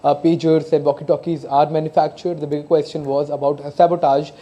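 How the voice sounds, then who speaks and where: A man with an Indian accent giving live analysis as a news correspondent, speaking haltingly and often repeating himself. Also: fairly high pitch, flat delivery, warm tone. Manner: halting, hesitant delivery with frequent repeated words.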